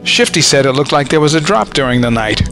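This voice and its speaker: An actor playing a matter-of-fact American army sergeant in a war audio drama, easygoing and practical. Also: male voice, fairly high pitch, expressive, brisk, over music.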